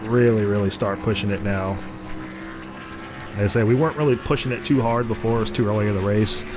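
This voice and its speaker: A man with a hoarse, congested voice from the flu, giving casual play-by-play over a racing video game. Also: lowish voice, fairly quick. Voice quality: rough, congested voice. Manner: excited play-by-play, rising sharply at crashes. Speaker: gaming commentator. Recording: game music and car noise underneath. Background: racing game engine noise and music.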